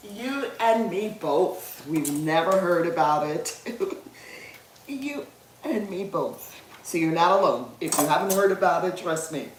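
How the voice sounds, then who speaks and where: A woman's voice: on the low side, lively intonation, slow pace, long pauses, echoey room, a little hiss or hum. A woman, chatting casually with viewers as she cooks on a livestream, laughing easily. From Guyana. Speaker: livestream cooking host. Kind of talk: casual livestream cooking chat.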